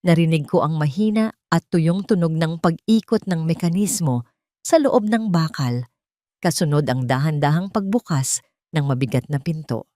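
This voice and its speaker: A woman narrates a dramatic story in the first person. Her voice sits low, and the recording is close and dry, with a scripted storytelling delivery. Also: lively intonation, moderate pace, dark tone, no echo.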